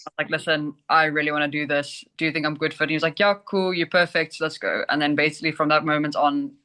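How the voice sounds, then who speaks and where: A woman's voice: sits low, steady tone, quick pace, bright, forceful tone. A woman from South Africa with a South African accent, chatting casually as a guest athlete. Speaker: bodybuilding competitor, guest. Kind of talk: casual chat.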